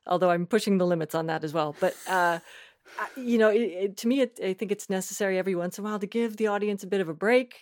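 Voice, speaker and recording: A woman speaks as a guest on a casual interview podcast. Her voice sits at a middling pitch, with an effusive, giggly, halting delivery. The sound is dry, with no room echo. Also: darkish tone. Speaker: podcast guest, audio fiction writer.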